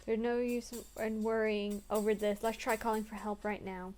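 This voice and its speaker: A woman's voice: mid-range voice, level tone, normal speed, darkish tone, faint music behind. A young woman playing through a story game, reading the text aloud earnestly and breaking off into crude, swearing jokes.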